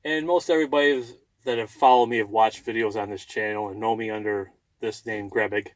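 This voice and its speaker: A man's voice: fairly low pitch, steady tone, a little fast, no echo, rough recording. A man with a folksy, rambling manner, chatting casually as a vlogger inside a truck cab. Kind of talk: casual vlog chat.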